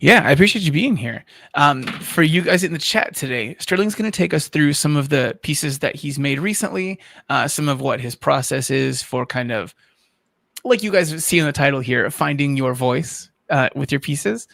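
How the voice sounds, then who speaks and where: A man's voice: fairly high pitch, normal speed, creaky, a bit bright, no room sound, studio quality. A man hosting a livestream in a casual, chatty way, addressing his guest and the viewers. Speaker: livestream host.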